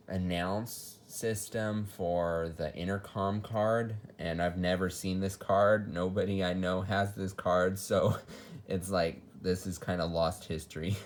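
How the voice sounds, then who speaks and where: A man giving a casual, unscripted technical walkthrough in a matter-of-fact, explanatory way, as a hobbyist showing equipment. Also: low voice. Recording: noticeable background noise with clicks.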